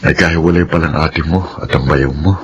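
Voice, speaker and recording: An actor playing a calm, earnest young man courting a woman in a radio drama. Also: extremely low pitch, a little fast, highs cut off, a little hiss or hum. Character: patient, devoted young suitor. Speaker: suitor.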